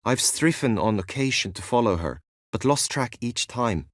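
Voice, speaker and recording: A man's voice: mid-range voice, lively intonation, normal speed, no echo, clean studio sound, close to an everyday voice. A man playing an earnest, lovestruck young knight in a fantasy video game, speaking in formal, archaic, courtly phrases. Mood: wistful, earnest. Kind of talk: video game voice acting, medieval fantasy drama.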